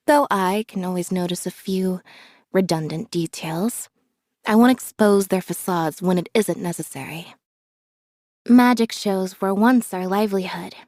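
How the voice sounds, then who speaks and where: Woman acting a calm, deadpan young magician's assistant, dry recording. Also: medium pitch, no room sound, natural voice. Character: reserved, observant young female magician's assistant. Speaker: video game character voice. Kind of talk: scripted character voice lines.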